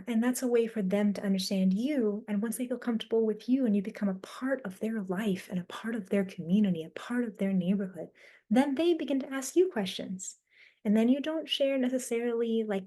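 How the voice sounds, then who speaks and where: A woman with a mellow, warm voice answers a panel question on a webinar. She speaks in an earnest, measured and motivational way. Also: mid-range voice. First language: not Mandarin. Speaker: explorer panelist.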